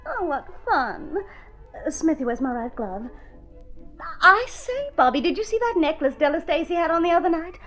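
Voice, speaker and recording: A woman's voice: very high voice, sing-song, moderate pace, fairly bright tone, soft background music, full character voice. A woman playing a vain young stage actress in a mid-century radio drama, gushing, fussy and theatrical. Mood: gushing, wheedling. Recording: dull vintage radio recording.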